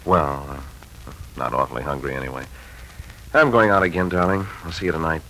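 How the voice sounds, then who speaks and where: A man's voice: very deep voice, fairly even intonation, fairly quick, bright, forceful tone, full character voice. An actor playing an earnest, folksy young husband and father in a 1940s radio drama. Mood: weary. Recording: slightly noisy 1940s radio recording.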